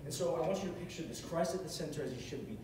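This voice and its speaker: Young man, earnest preacher, slightly high voice, boomy hall, far-off camera mic, room hiss. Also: expressive, very fast. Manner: earnest, repeats phrases for emphasis. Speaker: short sermon speaker. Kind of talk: sermon. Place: large carpeted hall, on a stage at a music stand. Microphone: far camera across the room. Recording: echoey hall from far off, with noise.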